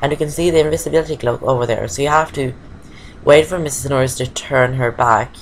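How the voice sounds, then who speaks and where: A woman's voice: very deep voice, fast talker, a little hiss or hum, low-quality recording. A woman giving casual let's play commentary, chatty and explaining each step with plenty of fillers.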